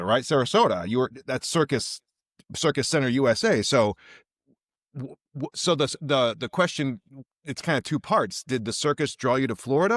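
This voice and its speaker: A man interviews a guest as a podcast host. His voice is medium in pitch and the sound is dry. He talks casually, restarting as he thinks his questions through. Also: no room sound.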